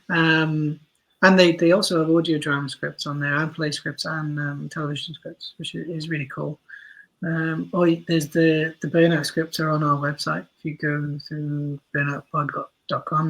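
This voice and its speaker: A man's voice: a little high, fairly bright tone, low-quality recording. A man speaking as a podcast guest, explaining things informally and helpfully in stop-start phrases. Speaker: podcast guest, audio drama writer. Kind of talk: casual explanatory interview talk.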